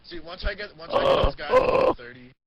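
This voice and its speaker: A man chatting casually over game voice chat in dull, lo-fi audio. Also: quick pace.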